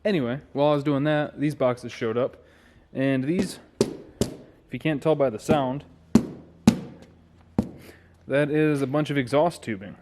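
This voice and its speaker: A man's voice: mid-range voice, a little slow, pauses often, heavy background noise. An American man narrating a garage car-build vlog informally, thinking aloud as he works. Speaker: car builder and video host. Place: home garage.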